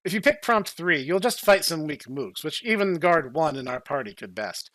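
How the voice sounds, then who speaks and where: A man's voice: fairly high pitch, sing-song, normal speed, fairly bright tone, no echo, low-quality recording. A man narrating a video game story as a podcast host, in a playful scripted style.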